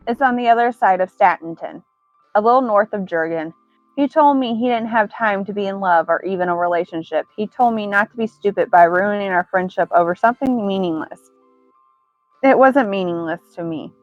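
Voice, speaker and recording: A woman voicing a tearful, heartbroken young woman in a higher, teary voice. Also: normal speed, no echo, highs cut off, faint music behind, lightly acted. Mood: upset.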